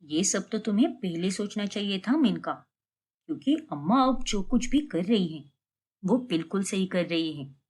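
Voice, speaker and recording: A woman voicing a calm, righteous elder sister-in-law in a cartoon family drama, speaking in a measured, admonishing way. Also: medium pitch, slightly rough. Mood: disapproving.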